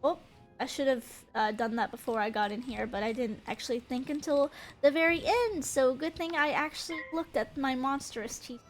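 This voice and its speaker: High-voiced stuffy-nosed woman streamer. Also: moderate pace, soft background music. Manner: chatty, giggly, rambling. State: congested, stuffy nose. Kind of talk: casual gameplay chat.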